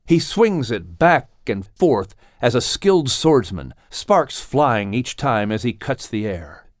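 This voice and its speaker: A male narrator reads a story dramatically. His medium-pitched voice moves widely in tone, expressive and storylike, in a close, dry recording. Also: slow pace, no room sound. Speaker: audiobook narrator.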